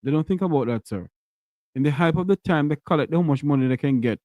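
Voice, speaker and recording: Jamaican guy running a call-in show, mellow low tone, blunt with callers, dry sound. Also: lively intonation, fast talker, no room sound. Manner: addresses callers as sir, weary and blunt. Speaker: call-in radio host.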